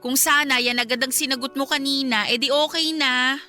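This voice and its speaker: A woman's voice: high voice, steady tone, a little fast, bright, forceful tone, faint music behind. A woman acting a hurt, nagging, defiant young wife in a Filipino radio drama, with a Filipino accent. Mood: annoyed, suspicious.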